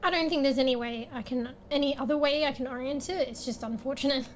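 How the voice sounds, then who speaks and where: High-voiced raspy woman streamer rambling. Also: fast talker, slight background noise. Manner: rambling, chatty, laughs often, swears casually. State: raspy voice today. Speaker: game streamer. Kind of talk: casual stream chat. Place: home streaming room. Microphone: desk microphone with fan blowing on it. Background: soft background music.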